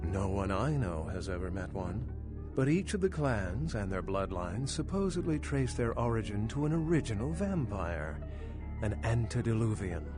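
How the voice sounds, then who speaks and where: An erudite, lilting vampire scholar. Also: male voice, lowish voice, very animated, normal speed, over music. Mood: lecturing, skeptical. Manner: articulate, lecturing, wry, pedantic. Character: centuries-old male vampire scholar, erudite, skeptical, dryly condescending. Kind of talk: video game dialogue acting.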